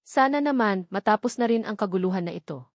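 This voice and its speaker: A woman's voice: medium pitch, expressive, fairly quick, no room sound, clean studio sound. A woman voicing a composed, cold male lawyer in a romance audio story, formal and controlled. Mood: cold, irritated.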